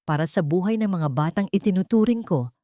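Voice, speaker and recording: Low-voiced woman narrating a dramatic story. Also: lively intonation, fairly quick, warm tone. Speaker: audiobook narrator.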